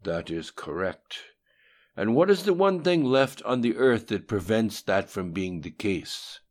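A man's voice: low voice, animated, a little slow, voice pushed up. A menacing supernatural tyrant, acted by a male audiobook narrator, speaks as a bodiless voice. He is imperious and scolding, commanding obedience in a raised, emphatic delivery. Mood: stern questioning. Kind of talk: audiobook dialogue acting.

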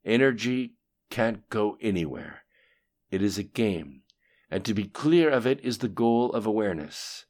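A cold, composed male conspirator, acted by a male audiobook reader, reports to a dark master. He is deferential yet unafraid and matter-of-fact, with his pitch lifted in emphatic dialogue. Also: animated, unhurried. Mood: calm, insistent.

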